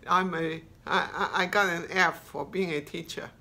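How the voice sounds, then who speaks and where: A woman's voice: lowish voice, steady tone, normal speed, sharp tone. An older woman from rural China, a native Cantonese speaker with a Cantonese accent, teaching casually in a plain-spoken, hesitant, good-humored way. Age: 60s or older. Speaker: mother acting as language teacher. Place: small plain room indoors.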